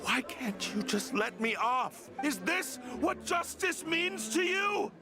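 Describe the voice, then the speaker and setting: A man's voice: very high voice, very animated, slow pace, over music, full character voice. An actor playing a desperate, indignant condemned man in a video game, impassioned and theatrical. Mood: pleading, outraged. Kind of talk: dramatic game voice acting.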